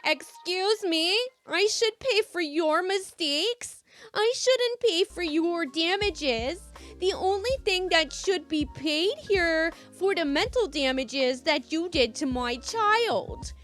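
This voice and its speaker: Entitled mother for a story reading, acted by a woman: very animated, indignant, exaggerated mash of Midwest and Brooklyn accents. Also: extremely high pitch, faint music behind, pitched above the performer's own voice, full character voice. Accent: mixed put-on American Midwest and Brooklyn. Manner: drawn-out, exaggerated, self-righteous.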